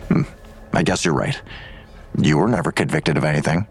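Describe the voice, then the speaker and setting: A man's voice: extremely low pitch, brisk, a little hiss or hum. A man playing a weary, grudging police detective in an audio drama, curt and sardonic in manner. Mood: grudging, guarded.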